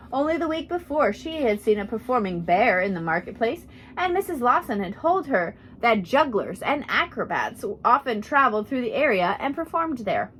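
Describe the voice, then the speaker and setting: A woman reading a biographical storybook aloud as scripted narration, in an expressive storytelling manner. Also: a little high, a little hiss or hum, poor audio. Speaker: audiobook reader.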